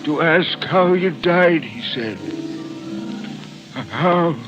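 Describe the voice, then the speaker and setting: An actor playing a fearful, haunted man telling of meetings with the dead, halting and dramatic, in a 1940s-style radio mystery. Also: fairly high pitch. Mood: somber. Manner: halting, dramatic, hushed storytelling. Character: haunted, fearful man narrating his graveyard encounter. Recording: dull lo-fi old radio recording, music.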